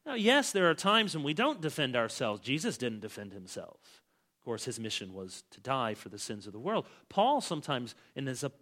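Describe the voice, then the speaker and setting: Emphatic bright-voiced male preacher. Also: mid-range voice, big pitch swings, normal speed. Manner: emphatic, with big swings in loudness. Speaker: pastor. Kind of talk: expository sermon.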